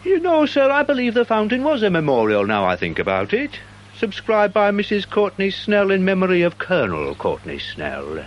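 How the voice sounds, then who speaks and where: An actor playing a pedantic, self-important, slightly dim British police sergeant in a radio drama, with a wordy, deliberate manner. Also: male voice, high voice, huge pitch swings, muffled recording, a little hiss or hum. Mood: earnest, officious.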